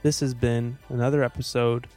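A man co-hosting a podcast, reading a scripted sign-off with a deadpan, joking manner. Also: medium pitch, moderate pace. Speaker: podcast co-host and composer. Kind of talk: light scripted podcast outro with dry jokes. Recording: music bed behind voice.

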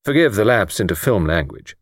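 Man playing an outspoken younger English man, lively. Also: lowish voice, fairly quick, no room sound, studio quality. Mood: probing. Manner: punctilious, blunt.

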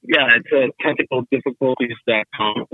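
A man who organizes a rally, a podcast guest chatting in a relaxed, easygoing and upbeat way. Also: mid-range voice, normal speed, breathy edge, no echo. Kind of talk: casual chat. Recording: dull, lo-fi remote audio.

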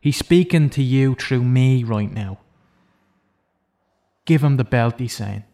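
An actor playing an imprisoned killer in an audio drama, self-loathing and intense, speaking with deliberate, persuasive insistence. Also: male voice, medium pitch, lively intonation, gravelly, mellow. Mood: pleading, coaxing.

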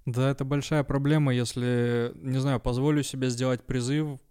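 A man from North Ossetia hosting a podcast, talking in a measured, thoughtful way with some hesitant fillers. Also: mid-range voice, little pitch movement, a little mellow, smooth. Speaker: podcast host. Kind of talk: casual discussion.

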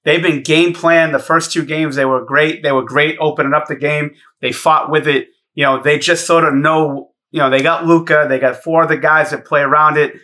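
A man's voice: fairly high pitch, fairly even intonation, normal speed, hardly any pauses, bright, forceful tone. A man in his thirties co-hosting a sports podcast, ranting bluntly with heavy swearing. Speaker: podcast co-host. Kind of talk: profane sports podcast banter.

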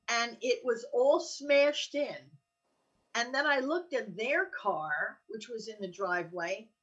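A woman's voice: fairly high pitch, sharp tone, slightly rough, recorded from a distance, dull audio. An older American woman with a chatty, joking manner, telling casual anecdotes in conversation. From Allenhurst, New Jersey, United States. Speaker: co-host.

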